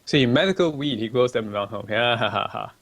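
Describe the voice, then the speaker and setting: A man's voice: medium pitch, lively intonation, brisk, a bit bright, dry recording. A young man from Malaysia hosting a casual livestream, chatty and rambling as he reads viewer questions aloud at a desk microphone. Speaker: livestream host. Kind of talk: casual stream chat, answering viewer questions.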